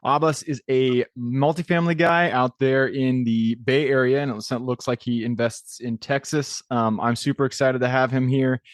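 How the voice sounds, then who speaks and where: A man's voice: medium pitch, steady tone, moderate pace, hardly any pauses, no room sound, studio quality. A man hosting a podcast interview who talks in an upbeat, encouraging way with many ums. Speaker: podcast host.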